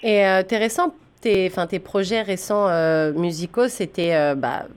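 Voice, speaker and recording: A woman's voice: fairly low pitch, normal speed, smooth. Conversational radio host woman. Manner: conversational, hesitant questions with fillers. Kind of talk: radio interview.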